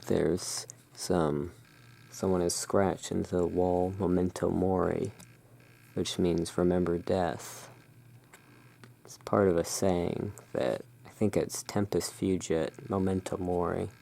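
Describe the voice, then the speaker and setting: An ASMR host in a gothic shopkeeper roleplay, musing in an unhurried, gently whimsical way while commenting on cards. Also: deep voice, creaky. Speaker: host playing a gothic curiosity shop owner.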